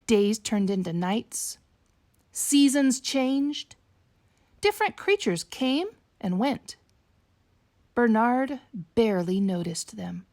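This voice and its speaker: A woman narrates a bedtime picture book for young children. Her voice is high and lively, swooping through the sentences at an unhurried pace, with a dry, close sound. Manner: slow, animated read-aloud delivery for young children. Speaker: teacher reading a picture book aloud to children. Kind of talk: expressive bedtime storybook narration.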